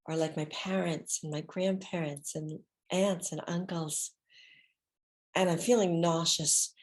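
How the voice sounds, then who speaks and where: A woman's voice: low voice, a little mellow. A woman giving a dramatic reading from a one-woman show, telling her story theatrically and leaning on repeated words for emphasis. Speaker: solo stage performer and storyteller.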